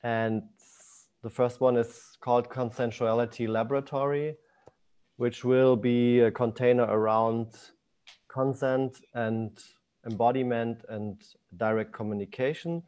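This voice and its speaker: A man's voice: medium pitch, steady tone, unhurried, darkish tone, clean tone. A man informally presenting workshops as their teacher.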